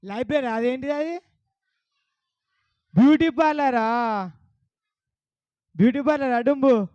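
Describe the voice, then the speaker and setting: A man's voice: very high-pitched, slow pace, a little mellow, no room sound, dull audio. A man with a Telangana Telugu accent hosting a puppet show, coaxing a child audience with questions. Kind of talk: lively talk to a child audience.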